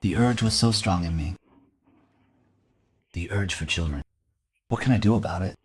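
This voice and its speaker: A man's voice: low voice, moderate pace, husky. A man playing a creepy, self-justifying bookseller under questioning in a crime audio drama, pleading and excusing himself. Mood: anguished confession.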